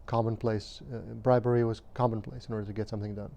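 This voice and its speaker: A man in his fifties from Romania, recalling his childhood in an interview at a measured, hesitant pace with frequent pauses and fillers. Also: fairly low pitch, level tone, mellow, lo-fi sound. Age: 50s. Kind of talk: recounting childhood memories.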